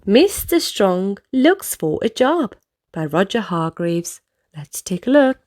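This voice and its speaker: A woman's voice: medium pitch, very animated, slow pace, darkish tone. A woman reading storybooks aloud to children expressively, addressing young listeners with questions and exclamations.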